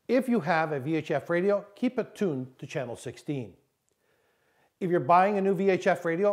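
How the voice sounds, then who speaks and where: A man with a somewhat higher-pitched voice narrates instructional material. He sounds like an instructor working from a script, setting out each point in a measured, didactic manner. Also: a little mellow.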